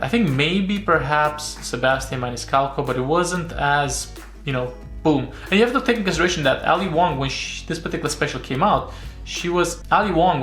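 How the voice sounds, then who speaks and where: A man's voice: mid-range voice, fairly bright tone. A man from Romania giving casual, analytical review commentary, often rephrasing himself and checking in with "right?". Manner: repeats and rephrases, often tags sentences with "right?". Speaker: YouTube comedy reviewer and comedian. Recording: roomy echo with music behind.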